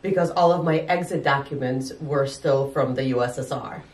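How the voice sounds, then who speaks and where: A woman doctor from Ukraine, explaining calmly and giving advice in an interview. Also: very deep voice, moderate pace, roomy sound, recorded from a distance. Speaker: doctor and medical guest.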